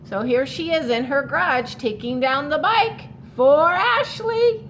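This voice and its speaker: A woman's voice: sits high, animated, measured pace, a bit bright, a little hiss or hum, low-quality recording. A woman reading a picture book aloud to children like a teacher, expressively stretching key words and adding explanatory asides for young listeners.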